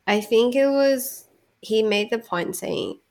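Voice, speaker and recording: A woman's voice: fairly high pitch, takes it slowly, a little mellow. A woman whose first language is Cantonese, co-hosting a casual podcast, chatty and reflective, often breaking into laughter. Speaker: podcast co-host.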